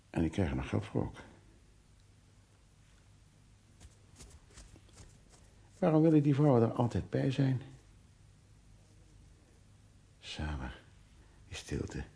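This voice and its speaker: In a radio drama, a brusque male art restorer. His voice is dark, husky and gravelly, and he talks in hesitant, muttered repetitions, often as if to himself. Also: on the low side, exaggerated voice. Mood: muttering, absorbed. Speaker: actor in a radio play. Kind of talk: Dutch radio drama acting, dialogue and soliloquy.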